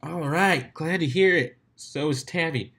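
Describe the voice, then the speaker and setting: Brash female cartoon pony DJ for a storybook, performed by a man: pitched up, cocky, slangy, built to hype a crowd. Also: measured pace, fairly bright tone. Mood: hyped showmanship. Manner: boisterous, slangy showmanship.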